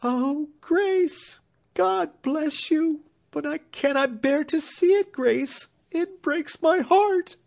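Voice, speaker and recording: A man's voice: extremely high pitch, takes it slowly, creaky, pitched above the performer's own voice, full character voice. A man reading an audiobook voices a young woman crying at a farewell, tearful and trembling. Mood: sobbing.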